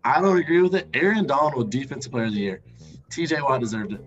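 A man's voice: mid-range voice, lively intonation, fast. A man hosting a podcast, chatting casually and debating sports with friends. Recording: slightly dull audio, faint music underneath.

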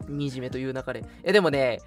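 Young man, casual livestream host, very lively intonation, playful retorts, soft background music. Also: medium pitch, fast talker. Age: young adult. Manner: playful, mock-indignant retorts to teasing. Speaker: radio show host. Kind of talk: casual chat on a livestream radio show.

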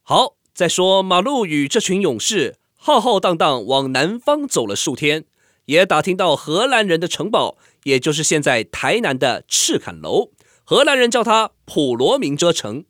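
A man's voice: fairly high pitch, big pitch swings, moderate pace, a bit bright, no room sound, clean studio sound. A man narrating a scripted drama as a dramatic storyteller.

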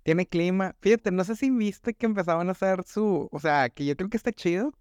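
Casting: young Monterrey man, podcast co-host, smooth clear voice, rambling and slangy, dry close mic. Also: sits high, normal speed, a little mellow, dry recording. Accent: northern Mexican (Monterrey). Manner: slangy, rambling, says "güey" constantly. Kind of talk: casual chat.

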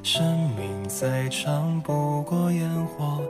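A woman's voice: low-pitched, lively intonation, drawn-out words, loud music behind the voice. A woman singing a sentimental pop ballad.

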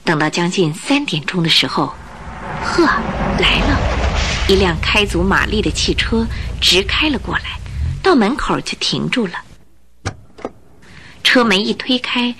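Animated female radio drama narrator. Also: mid-range voice, a little slow, pauses often, noisy background. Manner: expressive storytelling.

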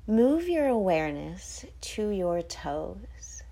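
A woman hosting a guided sleep meditation, giving soothing instructions with breathy phrases that often trail off toward a whisper. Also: mid-range voice, sing-song, slow, mellow.

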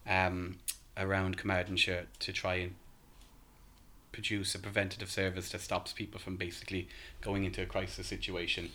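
A man in his thirties with a soft Irish accent, a podcast guest talking casually with lots of ums and restarts. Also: low-pitched, monotone, moderate pace. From Ireland. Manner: hesitant, many ums and restarts.

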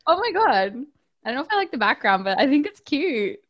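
A woman's voice: sits high, very animated, a little fast, lo-fi sound. Laughy young woman. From Australia. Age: 20s. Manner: laughy, upbeat, lots of "like". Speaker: podcast co-host. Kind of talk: casual chat. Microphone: phone call.

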